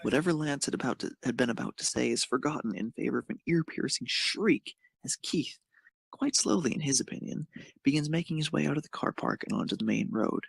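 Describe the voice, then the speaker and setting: Gravelly, husky male narrator. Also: medium pitch, a little fast, dry recording. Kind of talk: reading fan fiction aloud.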